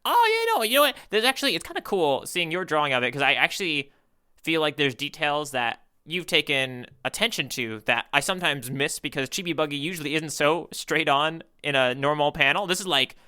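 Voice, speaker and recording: A man co-hosting a podcast in casual chat, talking in an excitable, chatty way. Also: fairly high pitch, brisk, smooth. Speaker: podcast co-host.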